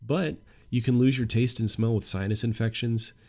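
A man's voice: medium pitch, steady tone, moderate pace, darkish tone. A young man hosting a solo podcast with a stuffy nose, talking earnestly and growing emphatic. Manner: earnest, opinionated, grows emphatic. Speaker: podcast host.

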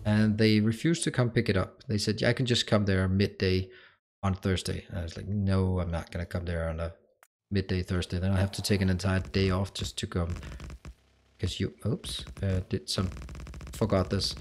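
A man's voice: low-pitched, level tone, normal speed, slight background noise. A man from Denmark with a Danish accent, chatting casually on a game livestream and answering chat as he plays. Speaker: streamer.

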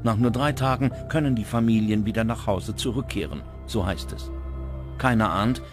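A man's voice: fairly low pitch, moderate pace. A man reading scripted documentary narration as the narrator. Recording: music bed under the voice. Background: prominent background music.

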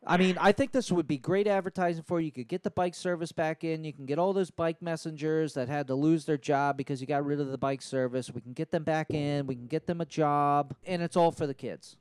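Guy doing an overeager schemer dad on a prank call, rambly earnest pitch, kinda high voice, dry close mic. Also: fairly even intonation, a little fast, few pauses, darkish tone, smooth, no echo, lightly acted. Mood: eager, insistent. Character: eager, scheming dad pitching absurd business idea. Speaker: prank caller. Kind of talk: improvised prank phone call. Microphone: handheld microphone held close to mouth.

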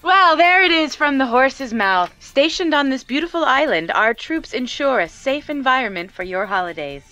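An actress playing a polished television correspondent, delivering a scripted news report in a composed, formal manner. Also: female voice, high-pitched, animated, moderate pace, sharp tone, faint music behind. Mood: upbeat, promotional.

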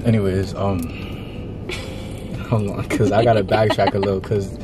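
A man's voice: lowish voice, steady tone, a little slow, music in the background. A nineteen-year-old man with a New York accent talking in slangy, repetitive, swearing banter inside a car. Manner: defensive, repetitive, heavy swearing. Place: inside a parked car at night. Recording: noisy car interior.

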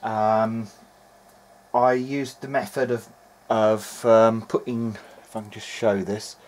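A man's voice: fairly low pitch, level tone, slow pace, a little mellow. A middle-aged man talking through a repair in a hesitant, meandering, matter-of-fact way, as in a video diary. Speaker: electronics repair hobbyist. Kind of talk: video diary, thinking aloud while working. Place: indoor workbench.

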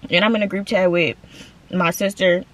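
A woman's voice: on the low side, fairly even intonation, normal speed, a bit bright, low-quality recording. A young woman in her twenties chatting casually to her viewers from inside a parked car, full of slang and calling them y'all. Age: 20s. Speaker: vlogger. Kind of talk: casual chat to camera.

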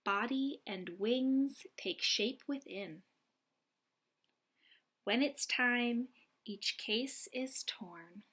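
A young adult woman reading aloud and explaining gently, as a teacher does for young children. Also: a little high, drawn-out words, long pauses, slightly rough. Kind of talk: children's read-aloud and lesson. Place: small lamp-lit room at home, indoors.

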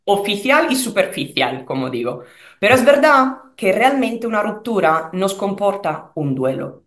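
A woman in her thirties from Italy, with an Italian accent, answering interview questions as a relationship coach over a video call in a room at home, expressive and pausing with fillers. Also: medium pitch, reverberant. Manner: expressive, searching for words with fillers. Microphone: video call webcam.

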